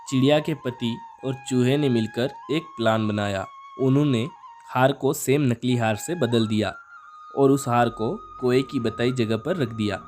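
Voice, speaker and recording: A man's voice: medium pitch, darkish tone. A male storyteller with a mid-range voice narrates a children's cartoon fable. He reads from a script, with background music under him.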